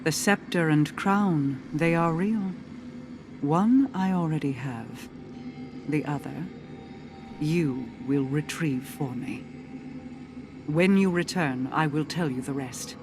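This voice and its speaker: An actress playing a solemn, commanding storyteller with a secret agenda, telling a fable in a measured, deliberate manner for a video game. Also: female voice, deep voice, music in the background. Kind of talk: slow scripted fable narration.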